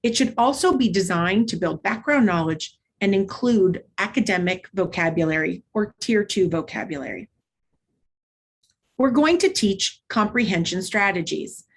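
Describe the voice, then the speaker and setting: A woman instructor giving an instructional presentation, explaining in a clear, structured way with short measured phrases. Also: medium pitch, expressive, slight background noise. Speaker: literacy instructor. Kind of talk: instructional presentation with modeled read-aloud.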